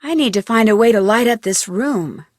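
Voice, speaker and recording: A young American woman playing an inquisitive, plucky amateur detective in an adventure game, speaking earnestly and expressively with clear enunciation. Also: fairly high pitch, animated, moderate pace, a little mellow, dry recording, clean studio sound. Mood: determined, musing. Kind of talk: scripted adventure game voice acting.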